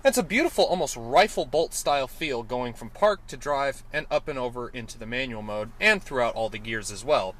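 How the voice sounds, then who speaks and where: A man's voice: medium pitch, lively intonation, a little fast, few pauses, fairly bright tone. A man giving an enthusiastic, detailed car review, now and then correcting himself mid-sentence, recorded in and around a car. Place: inside and around a car, outdoors.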